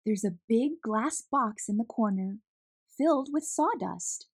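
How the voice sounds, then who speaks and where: Female storybook narrator, slow and expressive, slightly breathy-husky tone. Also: on the high side, dry recording, clean studio sound. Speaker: children's story reader, channel host.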